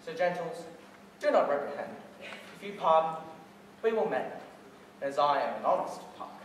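A man's voice: on the high side, very animated, normal speed. Mischievous young male sprite played by a teenage boy, dramatic Shakespearean stage delivery, recorded from far off in a reverberant, noisy hall. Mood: coaxing, apologetic. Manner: declamatory, playful, dramatic. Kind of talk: theatrical Shakespeare stage monologue. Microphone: distant camera or microphone.

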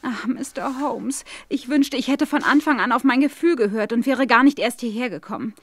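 An actress playing a polite, anxious young governess in a German audio drama, speaking in an earnest, worried, formal manner. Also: female voice, on the high side, moderate pace. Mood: distressed, indignant. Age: young adult.

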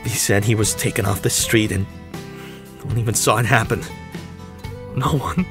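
A man's voice: fairly low pitch, fairly even intonation, moderate pace, music in the background, natural voice. A man acting an emotional, pleading young man in an amateur audio drama, desperate for his missing brother. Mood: grieving, desperate. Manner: emotional, pleading, sighing delivery.

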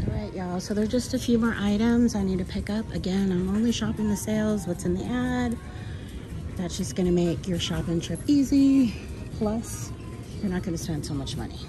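A woman's voice: mid-range voice, normal speed. Chatty woman filming a grocery run. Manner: chatty, practical, addresses viewers as y'all. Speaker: grocery haul vlogger. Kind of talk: casual shopping walkthrough. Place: supermarket aisles. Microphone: handheld phone camera. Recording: music playing in the store. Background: store background music.

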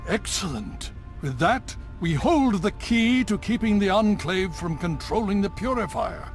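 An actor playing a stern, weary old military leader in a video game, speaking in a grave, measured, authoritative way. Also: male voice, high-pitched, expressive, faint music behind. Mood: grave, urgent. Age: older. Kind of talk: video game character acting.

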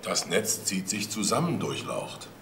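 Sardonic detective in echoey room. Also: male voice, on the low side, big pitch swings, a little slow, noisy background. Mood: mocking. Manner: dry, mocking politeness. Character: sardonic, probing male police detective. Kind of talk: German crime audio drama acting.

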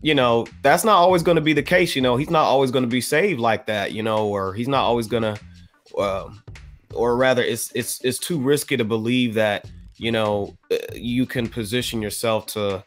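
An American man giving his opinion on a podcast panel in a measured, halting way with formal wording. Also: mid-range voice, faint music behind. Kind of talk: panel discussion, offering opinion. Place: indoor room on a video call.

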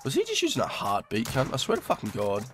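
A man's voice: medium pitch, huge pitch swings, quick pace, over music. A young Australian man with a slightly nasal voice from a cold, casually commentating over his gameplay in a crude, laid-back, swearing manner. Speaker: gaming YouTuber.

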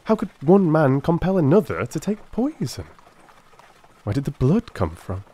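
A man giving a dramatic, deliberate reading of Victorian detective fiction as an audiobook narrator. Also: on the high side, sing-song, dark tone, husky. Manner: theatrical, deliberate.

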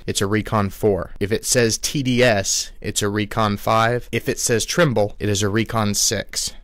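A man giving a scripted voice-over with calm, measured step-by-step instructions for resetting a handheld device. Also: lowish voice, no echo, studio quality. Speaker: product tutorial narrator.

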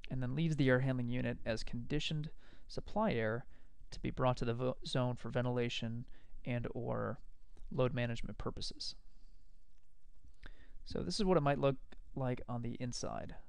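Male instructor, gravelly edge, close and dry voice-over, steady technical delivery. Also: medium pitch, no room sound. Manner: explanatory, measured, technical. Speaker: lecturer. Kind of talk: recorded slide lecture.

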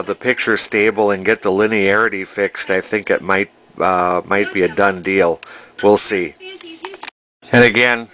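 A man's voice: lowish voice, pushed and bright, low-quality recording. A man narrating a casual repair vlog as a vintage television restorer, thinking aloud in a hesitant, rambling way in his home workshop.

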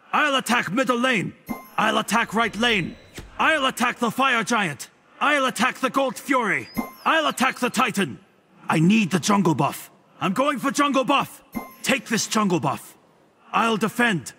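A man's voice: extremely high pitch, vocal fry, bright, forceful tone, exaggerated voice. A man voicing a haughty, smugly witty god sage in a video game, with a pompous, theatrical delivery. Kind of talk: video game character voice lines.

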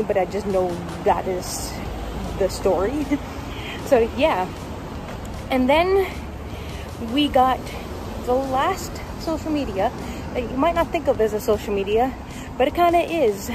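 A woman's voice: medium pitch, background noise. A young woman talking casually and informally to the camera as she walks along city streets, with jokey asides. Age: young adult. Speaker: vlogger and author. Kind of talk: casual walk-and-talk vlog. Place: outdoors on city streets, walking.

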